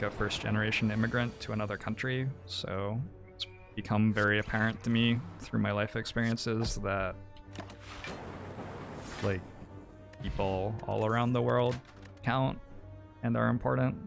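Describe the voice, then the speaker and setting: Low gravelly musing streamer man. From New Zealand. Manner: thoughtful, musing, hesitant. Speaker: game streamer. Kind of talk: casual livestream chat.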